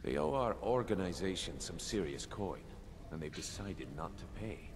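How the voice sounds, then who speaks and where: Video game guild recruiter, male: deep-leaning voice, commanding, dryly mocking, noisy background ambience. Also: sing-song, moderate pace. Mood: businesslike, menacing. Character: gruff male thieves' guild recruiter, brisk and menacing. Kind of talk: video game character dialogue.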